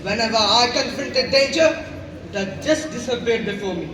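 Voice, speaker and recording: A man's voice: very high voice, moderate pace. A young man acting a proud, fearless Roman ruler in a school play, declaiming boastfully. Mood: proud, resolute. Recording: echoey noisy hall from far off.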